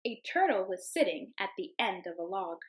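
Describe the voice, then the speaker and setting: A young woman teacher reading a picture book aloud to her class in a gentle storytelling voice, calling the animals in a sing-song tone. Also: medium pitch, very animated, moderate pace, slight background noise. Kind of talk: children's read-aloud.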